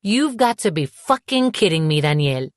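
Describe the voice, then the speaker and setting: A woman's voice: on the low side, lively intonation, moderate pace, no echo, clean studio sound, lightly acted. A woman voicing a wounded, indignant young woman in an audiobook, with an emphatic, emotionally charged delivery. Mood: angry, incredulous.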